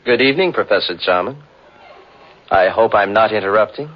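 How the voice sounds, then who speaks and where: A man's voice: on the low side, animated, a little fast, noisy background, natural voice. An actor playing a cold, scheming young man who narrates his own crime in 1940s radio style. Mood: menacing. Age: young adult. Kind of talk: 1940s radio acting, first-person narration. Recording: dull old radio broadcast with music.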